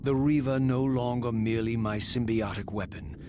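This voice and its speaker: An actor playing a tormented male wraith in a video game, narrating his tale in a solemn, eloquent, measured way. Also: medium pitch, natural voice. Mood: grave, brooding. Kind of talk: dramatic scripted game narration. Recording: loud music under the voice. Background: loud game score.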